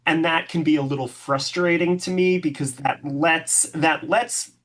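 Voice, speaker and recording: Woman co-host with a deep voice, earnest, chatty filler words. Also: steady tone, a little slow. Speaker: podcast co-host. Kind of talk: casual podcast discussion.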